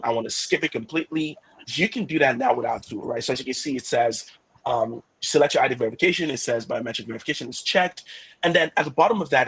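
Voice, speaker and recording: A man who also speaks Yoruba, walking viewers through software features in a product demo, often checking in with "right?". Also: medium pitch, slightly rough, poor audio. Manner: fast, frequent "right?" checks, thinking aloud with "um". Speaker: product presenter. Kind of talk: product demo webinar talk.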